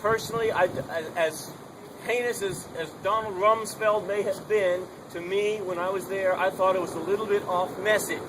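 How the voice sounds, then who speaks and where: A man's voice: high-pitched, fairly even intonation, normal speed. Man projecting an urgent open-air speech. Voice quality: strong, projecting voice. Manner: emphatic, urging, repeats key points. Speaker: protester giving a soapbox speech. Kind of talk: unamplified open-mic speech. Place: outdoor protest gathering. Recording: noisy outdoor recording.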